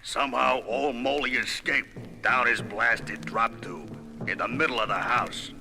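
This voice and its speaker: A man plays a gruff, brawling superhero with a gravelly voice, narrating like a sardonic tough guy full of slangy wisecracks. It is 1970s record drama acting, with dramatic music clearly behind him. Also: mid-range voice, steady tone, measured pace, natural voice, rough recording. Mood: grumbling.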